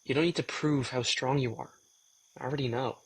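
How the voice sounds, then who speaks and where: A man's voice: medium pitch, fairly quick, husky, close to an everyday voice, poor audio. Man acting a weary, sardonic young male vampire. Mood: teasing. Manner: guarded, dry, grudging. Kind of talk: intimate audio drama acting.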